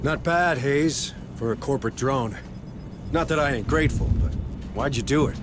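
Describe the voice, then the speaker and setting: A man voices a wry, grudging marine in a game. His medium-pitched voice has a folksy turn, with music clearly behind. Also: moderate pace, lightly acted.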